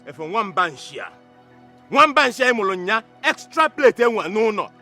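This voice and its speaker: Proud, threatening Igbo older brother, Nigerian film: sharp, animated delivery, switching languages. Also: fairly high pitch, normal speed, slightly rough, soft background music, full character voice. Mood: furious, threatening. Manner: switches between English and Igbo.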